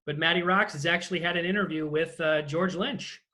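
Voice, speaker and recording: A man with a notably high, bright voice acts as interviewer. He speaks casually and conversationally, steering a chat with his guest over a video call. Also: steady tone, brisk. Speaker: interviewer, host.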